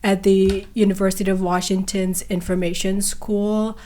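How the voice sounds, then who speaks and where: A woman's voice: medium pitch, flat delivery, moderate pace, dark tone. A young woman from Nepal, a guest on a podcast interview, speaking hesitantly with many fillers and restarts. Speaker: guest, PhD candidate.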